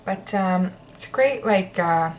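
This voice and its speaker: A woman's voice: medium pitch, slow. Woman beauty vlogger, casual and rambling, slightly nasal from a stuffy nose, faint noise in the background. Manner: chatty, many ums and likes. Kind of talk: casual product review.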